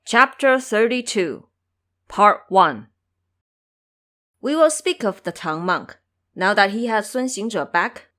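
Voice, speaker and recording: A woman narrating a scripted audio drama in a theatrical, deliberate storytelling manner. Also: mid-range voice, fairly bright tone.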